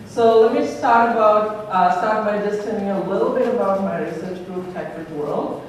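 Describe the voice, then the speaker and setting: A woman's voice: mid-range voice, normal speed, slight background noise. A woman from Sri Lanka, a robotics researcher giving an earnest conference talk with many ums and uhs. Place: lecture hall. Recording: echoey hall from far off.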